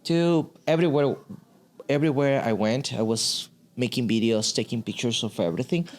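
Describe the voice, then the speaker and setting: A man's voice: mid-range voice, moderate pace, darkish tone. A man in his thirties from Ecuador, speaking English with an Ecuadorian Spanish accent, telling his story earnestly and restarting phrases as a podcast guest. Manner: earnest, searching for words with restarts. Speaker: guest, immersive media artist. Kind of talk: casual interview talk. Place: podcast studio with sofas. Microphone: studio mic on boom arm.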